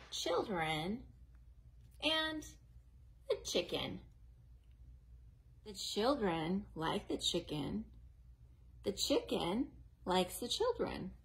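A woman in her forties reading a picture book aloud to young children in a slow, exaggerated, sing-song way, recorded on a close webcam at home. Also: mid-range voice, big pitch swings. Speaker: children's librarian. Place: living room at home, then a library.

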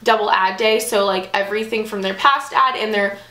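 A young woman chatting casually and upbeat as she shows her groceries, with lots of "like" and quick asides. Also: mid-range voice, pushed and bright, a little hiss or hum. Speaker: fitness vlogger. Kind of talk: casual grocery haul vlog. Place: small bedroom, indoors. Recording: echoey room.

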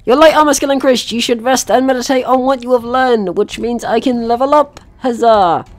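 A man's voice: very high-pitched, normal speed, dry recording. A man giving casual let's play commentary, chatty and jokey, talking to himself and the game's enemies. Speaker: gaming channel host.

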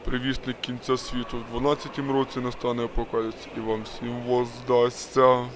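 A man's voice: mid-range voice, little pitch movement, fairly quick, close to an everyday voice. A man voicing a solemn religious doomsayer in a cartoon, sermonizing. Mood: solemn, ominous. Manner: droning, sermonizing. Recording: noisy outdoor street recording.

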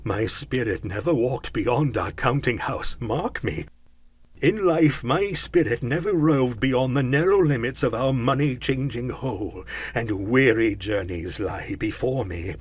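A man voicing a tormented, mournful ghost in a storybook reading, with slow, drawn-out declamation. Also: medium pitch, slightly rough. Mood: grave, weary. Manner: slow, drawn-out, declamatory delivery with wailing cries. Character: tormented male ghost, mournful and solemn warning spirit.

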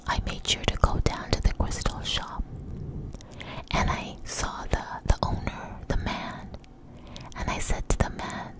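A woman telling a rambling personal story in a breathy, hushed ASMR whisper, halting as she goes, very close to the microphone. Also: poor audio. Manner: slow, hushed, halting delivery.

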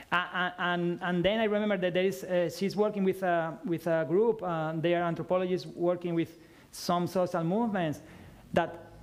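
A man in his thirties answering audience questions at a talk, speaking haltingly with many restarts into a headset mic in a lecture room. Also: high voice, darkish tone. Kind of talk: conference Q&A.

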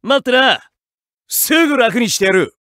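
Brash young samurai fighter: high, animated, rough street swagger, clean dry booth. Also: male voice, moderate pace, no room sound, heavily acted. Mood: low, earnest. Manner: cocky, rough Edo-style street talk. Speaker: game character voice. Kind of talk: fighting game voice clips. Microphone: dry studio mic.

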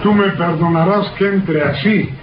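A man's voice: high-pitched, moderate pace, close to an everyday voice. An actor playing a fretful, fatherly village priest, fussy and repeating himself, in an old radio drama. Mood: worried. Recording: dull noisy old radio recording.

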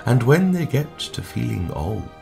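A man giving a dramatic poetry recitation in a measured, expressive way, with hushed line endings. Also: medium pitch, vocal fry. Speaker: poetry reader. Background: music.

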